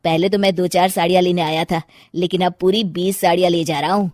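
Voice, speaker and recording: A woman's voice: on the low side, fairly even intonation, fairly quick, a little mellow, no room sound, clean studio sound, lightly acted. A woman playing a cheerful, polite male customer in a cartoon story, respectful and good-humoured. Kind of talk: cartoon moral-story acting.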